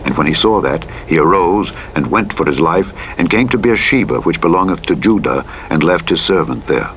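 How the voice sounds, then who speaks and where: A man with a very low voice reads scripture as a solemn narrator. His delivery is measured and declamatory. Clear truck engine noise runs behind him. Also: lively intonation, a bit bright, muffled recording. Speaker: audiobook narrator. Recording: clear vehicle noise over a radio playback. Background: truck engine and driving noise from a simulator game.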